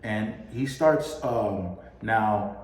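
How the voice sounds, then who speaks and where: A man from the Dominican Republic chatting casually on a podcast, quick and curious. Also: lowish voice, darkish tone. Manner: quick, curious, asks questions. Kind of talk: casual chat. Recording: echoey room, faint noise.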